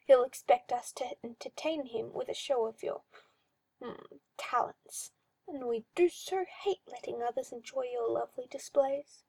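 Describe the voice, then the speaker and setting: A woman's voice: sits high, vocal fry, dark tone, breathy edge, no room sound, heavily acted, rough recording. A woman voicing a simpering, cruel male magister who talks in a sly, mock-tender croon. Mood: cooing menace. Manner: cooing, drawn-out, mock-tender.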